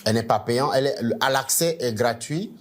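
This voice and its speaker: A middle-aged man from Nigeria, a native English speaker, giving a measured teaching talk as a church management coach, often restarting and stressing key words. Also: medium pitch.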